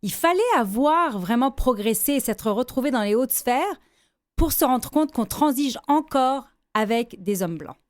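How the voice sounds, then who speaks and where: Higher-pitched woman in her fifties. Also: expressive, measured pace. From Lebanon. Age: 50s. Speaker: interview guest, organization founder and former executive. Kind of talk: articulate, reflective interview answers.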